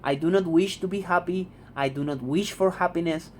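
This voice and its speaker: A man's voice: high voice, moderate pace, a little hiss or hum. Blunt young Argentine man. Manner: blunt, repeats "man", swears freely. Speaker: vlogger. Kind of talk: casual talking-head monologue. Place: home room.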